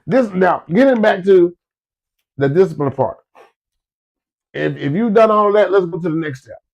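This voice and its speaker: Stuffed-up man, gravelly and high. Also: lively intonation, moderate pace, lo-fi sound. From Florida. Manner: folksy, repetitive, addresses listeners as y'all. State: stuffed-up nose from a cold. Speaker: host giving business advice. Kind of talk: casual motivational talk to listeners.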